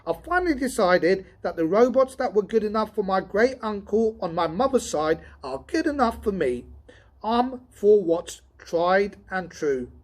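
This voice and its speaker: A man voicing an excitable, griping male robot tester in a storybook reading, loud and indignant. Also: high-pitched, a little slow, pitched above the performer's own voice, full character voice. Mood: exasperated.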